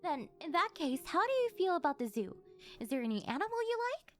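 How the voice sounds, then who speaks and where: A woman's voice: sits high, very animated, fairly quick, soft background music. A woman playing a rich, possessive older yandere girlfriend in a scripted ASMR roleplay, coaxing sweetly and rambling a little awkwardly. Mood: sweet, concerned.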